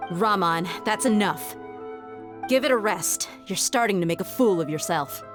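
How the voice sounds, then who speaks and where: A woman's voice: mid-range voice, animated, normal speed, lightly acted. A woman playing a blunt, level-headed desert mercenary in a video game, with a matter-of-fact delivery. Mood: stern. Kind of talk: video game dialogue acting. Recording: prominent background music under the voice.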